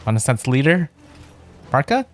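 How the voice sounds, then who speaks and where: A man's voice: mid-range voice, sing-song, normal speed, soft background music. A man streaming a video game, casually reacting to what happens on screen. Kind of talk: casual let's-play commentary.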